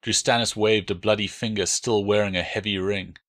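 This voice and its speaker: A man's voice: fairly low pitch, steady tone, fairly quick, a bit bright. A man reading his own novel as a dramatic, scripted audiobook narration.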